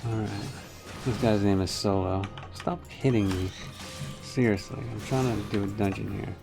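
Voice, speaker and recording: A man's voice: fairly low pitch, moderate pace, gravelly, music in the background. A man giving live gameplay commentary, muttering to himself as he plays and reads quest text. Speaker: game streamer.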